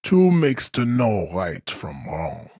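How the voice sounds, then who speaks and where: An actor playing a haughty, hulking supremacist strongman in a video game, speaking in a solemn, pompous, declamatory manner with a husky voice. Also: lowish voice, huge pitch swings, slow pace, darkish tone, dry recording, studio quality, full character voice. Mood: cold, haughty. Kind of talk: scripted game character monologue.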